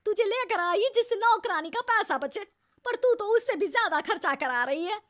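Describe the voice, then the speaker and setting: Cruel older cartoon mother-in-law, played by a woman, piercingly high, animated pitch, harsh, bossy, nagging. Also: normal speed. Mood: furious.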